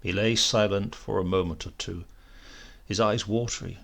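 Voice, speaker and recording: A man with an English accent reading a literary story aloud in an expressive, measured way. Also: lowish voice, vocal fry. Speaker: audiobook reader.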